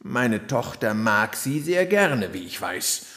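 A man's voice: medium pitch, very animated, takes it slowly, fairly bright tone. A man playing an easygoing, indulgent king and father in a fairy-tale audio drama, mild and hemming. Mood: genial, approving.

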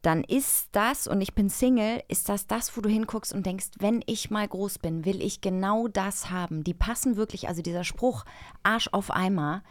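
A woman's voice: medium pitch, normal speed, no room sound, studio quality. A woman co-hosting a casual chat among friends, speaking in a familiar, informal and effusive way.

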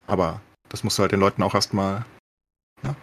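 A man with a husky, somewhat low voice talks very quickly. He sounds like a podcast co-host in an informal discussion. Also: fairly even intonation. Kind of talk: casual discussion.

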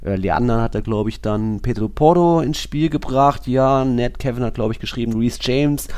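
A man's voice: medium pitch, moderate pace, darkish tone. A man co-hosting a football podcast, analysing informally and thinking aloud in casual talk.

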